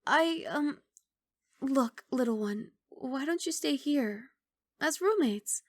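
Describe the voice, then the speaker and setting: A woman voicing a kindly young giant dragon girl in an ASMR roleplay, speaking in a soft, coaxing, reassuring and slightly bashful way. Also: high-pitched, animated, slow pace, dry recording, clean studio sound. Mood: shy, hopeful.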